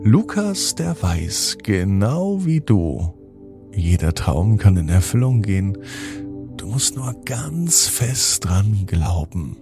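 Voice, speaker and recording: A man hosting a children's podcast, narrating a bedtime story in an expressive, gentle way. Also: lowish voice, takes it slowly, over music.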